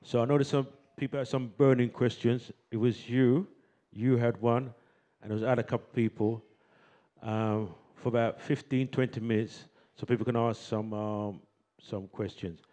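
A male event host who announces the question-and-answer session in a relaxed way, with some "um"s. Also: medium pitch, normal speed. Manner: relaxed, hesitant with fillers. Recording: voice from far off in a room.

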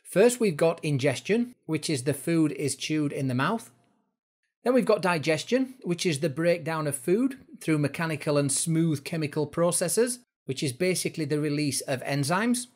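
Guy with a slightly high voice doing an instructor explainer, informal, step by step. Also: expressive, normal speed, a little mellow. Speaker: fitness instructor presenting a course. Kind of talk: explanatory educational narration.

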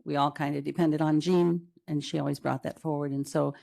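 Gravelly, mellow woman at a meeting. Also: sits low, flat delivery, a little fast. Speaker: committee chair at a county board meeting. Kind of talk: informal spoken report in a meeting. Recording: public meeting room recording.

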